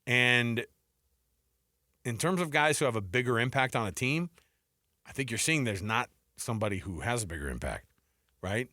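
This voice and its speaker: A male sports talk host with a bright, forward voice, recorded close and dry in a studio. He chats in animated banter, throwing out questions and answering them himself. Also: mid-range voice, normal speed, pauses often, dry recording. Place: radio studio. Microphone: close studio microphone.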